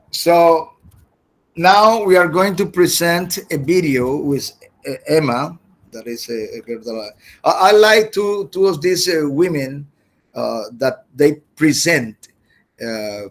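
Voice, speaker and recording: Guy teaching english over a video call, spanish first language, slightly high voice, keeps restarting words. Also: a bit bright. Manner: halting, repeats words and restarts. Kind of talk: online class explanation.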